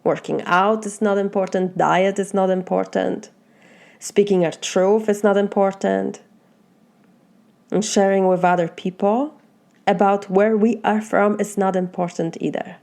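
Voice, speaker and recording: A woman with a Polish accent gives a motivational talk as a life coach, in a mellow, darkish voice with a gravelly edge. She speaks slowly and earnestly. Her talk moves through one reflective question after another. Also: medium pitch, fairly even intonation. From Poland. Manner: asks many reflective questions, slow and earnest.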